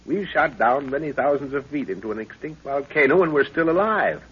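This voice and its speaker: Husky, animated old-radio professor. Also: male voice, mid-range voice, fairly quick. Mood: puzzled, intent. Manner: stammering, exclamatory, paternal. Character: older professor explorer, a father, irritable but curious. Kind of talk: 1930s radio acting. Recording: dull lo-fi 1930s radio recording.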